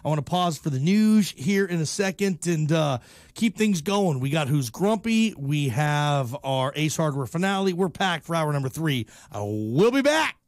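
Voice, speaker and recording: A man's voice: fairly high pitch, big pitch swings, moderate pace. A man hosting a sports talk radio show, chatting casually in a chummy, informal way. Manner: chummy, informal, calls guest "man" and "brother". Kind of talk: casual live radio chat. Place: radio studio.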